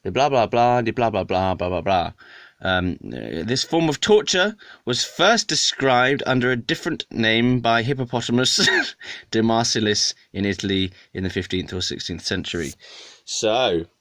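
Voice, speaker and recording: A man's voice: on the low side, lively intonation, normal speed, a bit bright. A man, a musician rambling through a comic monologue to camera, swearing and laughing at his own jokes. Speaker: musician and video maker.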